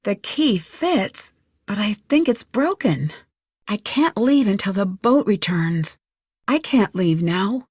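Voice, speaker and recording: A woman playing an inquisitive, level-headed young detective thinking aloud in a video game, earnest and crisp. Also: mid-range voice, expressive, slow, darkish tone, no room sound, clean studio sound. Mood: focused, pondering.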